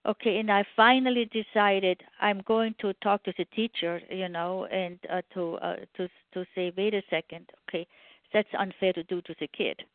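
A woman's voice: mid-range voice, steady tone, normal speed, dry recording. Older German-accented woman on a phone line. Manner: storytelling with many fillers and restarts. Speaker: radio guest. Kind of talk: interview conversation.

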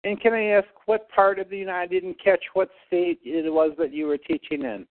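A man calls in to a radio program and presses the guest with questions. His voice is notably high for a man. It comes over a thin, tinny phone line. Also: steady tone, fairly quick. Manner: probing questions. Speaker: caller.

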